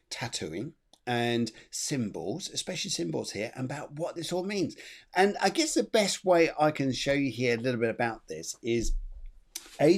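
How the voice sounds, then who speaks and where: A middle-aged man hosting a live online chat show, chatty and rambling, laughing often. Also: mid-range voice. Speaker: host.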